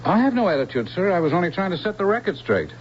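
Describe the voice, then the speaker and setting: A man's voice: sits high, sing-song, fast, lo-fi sound. An actor playing a polite, hesitant, guileless male humanoid robot in a radio drama. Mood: polite, earnest. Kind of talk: 1970s radio drama acting. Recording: noisy, band-limited old radio broadcast.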